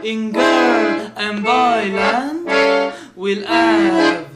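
A woman's voice: mid-range voice, very slow. A woman singing a parody of a Christmas song, accompanied by accordion music. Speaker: singer. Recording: echoey, loud accordion and guitar music.

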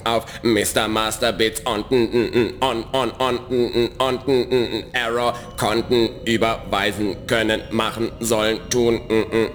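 A man's voice: on the low side, flat delivery, a little slow, few pauses, fairly bright tone, roomy sound. A man playing a pedantic, scheming computer virus in a children's radio play, stuttering, repeating syllables and correcting himself. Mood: glitching.

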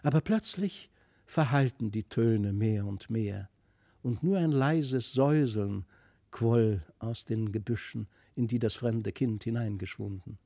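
Male storyteller for classic fairy tales, gravelly edge, expressive, very dry studio sound. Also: lowish voice, unhurried, no echo. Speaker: audiobook narrator. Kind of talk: expressive fairy-tale reading.

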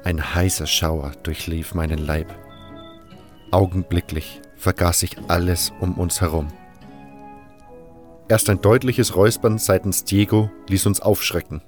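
A man reading a scripted first-person fantasy story as narrator, in a measured, literary delivery. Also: sits low, fairly even intonation, a little mellow, faint music behind. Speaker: narrator of a fan audio drama.

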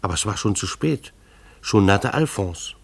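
An actor playing a timid, needy husband turned soap peddler in a radio drama, hesitant and uneasy. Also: male voice, lowish voice, animated, normal speed, vocal fry, slightly rough, full character voice. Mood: wry, uneasy recollection. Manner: hesitant stammering when speaking to others. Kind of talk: radio drama narration and dialogue.

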